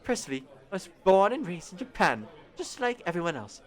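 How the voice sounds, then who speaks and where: A man reading the lines of a sharp-tongued young woman working a cafe counter, in a dry, cutting tone. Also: sits high, very animated, fairly quick, pitched above the performer's own voice. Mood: curt.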